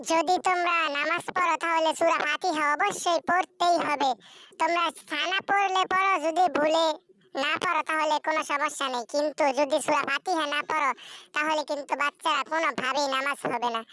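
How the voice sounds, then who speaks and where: A woman's voice: high-pitched, pushed and bright. A woman voicing a patient cartoon teacher. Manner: patient, instructive, recites slowly for repetition. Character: kind female teacher of young children. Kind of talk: cartoon voice acting.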